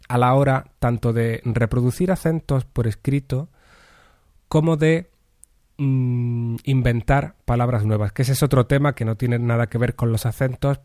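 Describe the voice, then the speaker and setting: A man from Andalusia hosting a solo podcast, speaking with a neutral Castilian Spanish accent that softens his Andalusian one, in a measured, articulate way with careful diction. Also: medium pitch, no room sound, studio quality. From Andalusia, Spain. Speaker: podcast host. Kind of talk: solo podcast monologue answering listener questions.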